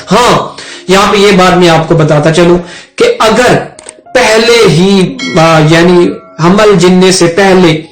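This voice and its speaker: A man with a Pakistani accent giving an informal explanatory law lecture, telling stories in a halting, conversational way and often checking in with the listener. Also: sits high. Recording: echoey room with music behind.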